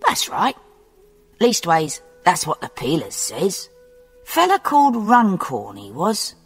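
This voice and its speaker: A woman's voice: fairly low pitch, wildly swooping pitch, slow pace, slightly rough, exaggerated voice. A woman voicing a cheerful, patient male hospital orderly, chatty and good-humoured in an audiobook. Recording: long reverb with faint background music.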